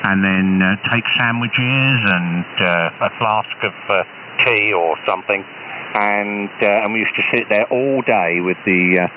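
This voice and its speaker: A British man, an amateur radio operator, chatting casually over a shortwave radio link in a halting, hesitant way with repeated false starts. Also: fairly low pitch. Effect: radio transmission. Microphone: radio transceiver, received over shortwave. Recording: muffled, noisy shortwave ham radio signal.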